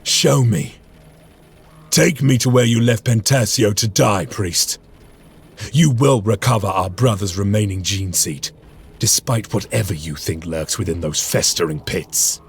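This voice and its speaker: An actor playing a grave, vengeful veteran space marine commander in a video game, speaking in a formal, solemn and measured way. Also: male voice, on the low side, slightly rough, heavily acted. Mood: stern command. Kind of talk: dramatic video game cutscene acting.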